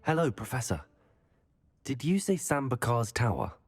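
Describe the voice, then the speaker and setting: A polite young wizard student in a fantasy game who speaks up to his professor. His voice is mid-pitched and moves in a lively way, over faint music. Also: normal speed. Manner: polite, casting spells.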